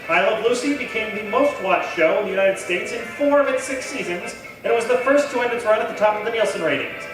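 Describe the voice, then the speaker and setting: A man working as an announcer, reading scripted narration. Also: very high-pitched. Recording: echoey distant hall, music playing.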